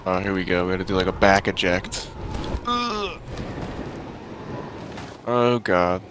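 A man's voice: sits low, fairly even intonation, a little fast, background noise. A man giving casual, joking gameplay commentary and swearing freely. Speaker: gaming channel player. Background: video game music and sound effects.

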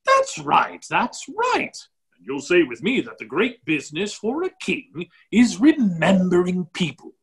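A man's voice: high-pitched, wildly swooping pitch, a little slow, fairly bright tone, full character voice. Man playing a muddled, swooping, genial duke. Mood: breezy, self-satisfied. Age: middle-aged. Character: muddle-headed, genial, blustering English duke. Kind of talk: staged play reading over video call. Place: indoor office, video call. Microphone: computer microphone on a video call.

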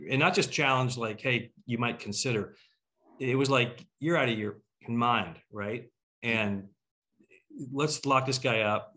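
A man's voice: mid-range voice, a bit bright. A middle-aged man from Canada talking casually and a little ramblingly as a panel host over a video call. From Toronto, Canada. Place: home room, on a video call.

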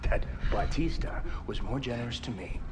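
A man's voice: medium pitch, moderate pace, very noisy, natural voice. A man playing a bitter, betrayed mercenary villain in a video game cutscene, taunting and theatrical. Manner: taunting, theatrical, swings from whisper to shout.

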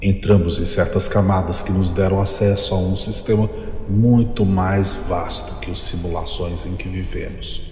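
Grave alien envoy, very deep, long echoing hall. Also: male voice, steady tone, a little mellow, a little hiss or hum. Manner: formal, measured. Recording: echoey hall with music behind.

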